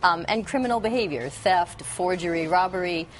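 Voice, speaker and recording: A woman's voice: fairly low pitch, moderate pace, rough recording. A woman speaking as a psychiatrist guest in a news interview, frank and matter-of-fact in her commentary. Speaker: psychiatrist, addiction specialist as TV guest.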